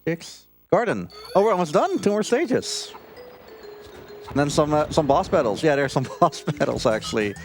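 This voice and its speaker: Man commentating a game over loud music. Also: fairly high pitch, very animated, normal speed, low-quality recording. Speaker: speedrunner playing and commentating live. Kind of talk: casual live gameplay commentary. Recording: live marathon stream with game audio.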